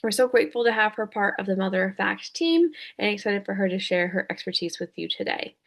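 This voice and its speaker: A woman's voice: mid-range voice, lively intonation, brisk, gravelly. A woman hosting a webinar as a dietitian, welcoming attendees and introducing the speaker from prepared notes.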